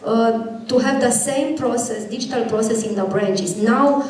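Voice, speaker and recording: A woman speaks on a conference panel, halting now and then to find her words. Her pitch sits mid-range. The sound echoes strongly around a conference hall, with faint noise. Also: darkish tone. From Romania. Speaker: bank executive panelist. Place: conference stage.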